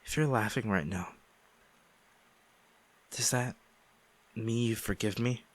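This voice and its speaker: A man acting a guilt-ridden ex-boyfriend pleading for forgiveness in an intimate audio roleplay, halting and emotional. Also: fairly low pitch. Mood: tentative, subdued. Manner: halting, emotional, sighing, repeats himself.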